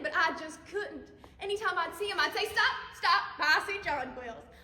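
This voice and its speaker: A woman's voice: high voice, sharp tone, a little hiss or hum. A woman performing a nostalgic, girlish Southern belle mother in an effusive, theatrical stage monologue. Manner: effusive, dramatic, drawn-out words. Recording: echoey hall, long reverb.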